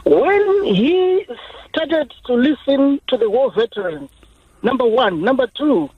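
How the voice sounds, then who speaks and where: A man's voice: very high-pitched, expressive, unhurried, breathy edge, dry recording. A man, calling in to a radio phone-in and speaking earnestly and insistently. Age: 40s. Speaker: caller. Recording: dull phone line.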